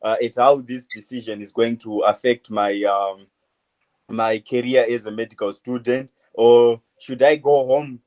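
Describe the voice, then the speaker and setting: A man in his twenties from Zimbabwe, a medical student answering interview questions in a halting way, full of uh fillers. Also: medium pitch, fairly even intonation, a little mellow, low-quality recording. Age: 20s. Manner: hesitant, many uh fillers, halting phrases. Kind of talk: phone interview.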